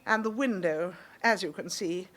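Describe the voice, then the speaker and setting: An actress playing an indignant, haughty English care home matron in a radio drama, speaking formally and precisely. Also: female voice, fairly low pitch, normal speed, a bit bright, natural voice. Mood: formal, put out. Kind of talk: British radio drama acting.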